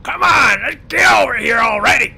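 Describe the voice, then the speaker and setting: Blustering small-town boss, very high, piercing, raspy squawk, impatient orders, comic game roleplay. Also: male voice, lively intonation, moderate pace, sharp tone, shouting, full character voice. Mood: impatient, shouting. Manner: barking orders and insults.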